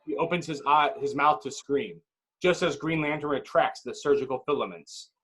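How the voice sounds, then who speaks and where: A man's voice: on the high side, moderate pace, a bit bright, breathy edge. A man reading stage directions as narrator at a table read, in dramatic, clipped phrases.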